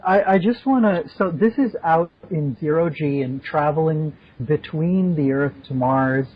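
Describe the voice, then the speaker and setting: Male podcast host, jokey and chatty, husky voice, dull recording. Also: on the high side, unhurried. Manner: playful, jokey. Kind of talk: casual interview chat. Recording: dull lo-fi remote audio.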